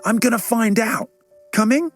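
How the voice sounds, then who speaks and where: A man voicing an eager, excitable young boy in a children's audiobook. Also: very high-pitched, moderate pace, soft background music, pitched above the performer's own voice. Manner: eager, exclamatory.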